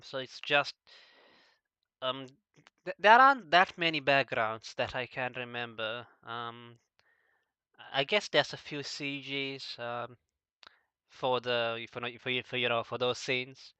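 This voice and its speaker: A man with a very bright, clear voice hosts a casual discussion. He speaks haltingly, often restarting his words as he asks questions. The sound is dry and a little dull, like modest lo-fi audio. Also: mid-range voice, no echo. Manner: hesitant, halting, often stumbling over words. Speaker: podcast host asking questions.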